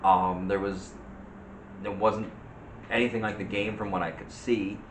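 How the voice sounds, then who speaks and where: A middle-aged man co-hosting a casual movie chat, speaking in a halting, plain-spoken way. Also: lowish voice, little pitch movement, fairly bright tone. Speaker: co-host of a movie review channel. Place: room at home. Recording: roomy echo, faint background noise.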